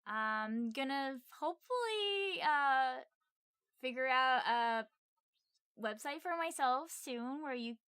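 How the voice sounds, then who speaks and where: Higher-voiced woman co-host, very dry recording. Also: expressive, slow pace, a bit bright, smooth, dry recording. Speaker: podcast co-host. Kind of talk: casual film review podcast chat.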